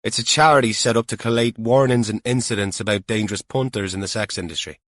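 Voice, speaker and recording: A man's voice: on the low side, quick pace, a bit bright, no echo, studio quality, close to an everyday voice. A man voicing a seasoned, colloquial police officer with a wry, emphatic manner in an audiobook. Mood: matter-of-fact.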